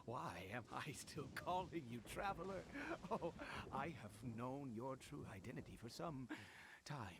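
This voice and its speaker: An actor playing a deranged, fanatical noble cult founder in a video game, grandiose and gloating in manner. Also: male voice, mid-range voice, lively intonation, unhurried, gravelly, noisy background. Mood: elated, knowing. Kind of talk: dramatic video game voice acting.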